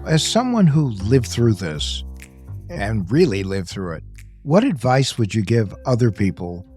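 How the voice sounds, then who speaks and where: Lowish-voiced man co-hosting an interview. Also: very animated, unhurried, a little mellow, soft background music. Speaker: podcast co-host. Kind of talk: conversational interview and analysis.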